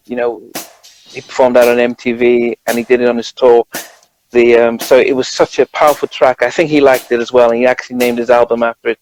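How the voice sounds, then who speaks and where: A man's voice: mid-range voice, darkish tone, rough recording. A man with a British accent chatting casually as a guest, hesitant and repeating words as he thinks. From United Kingdom. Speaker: guest, journalist. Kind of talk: casual chat.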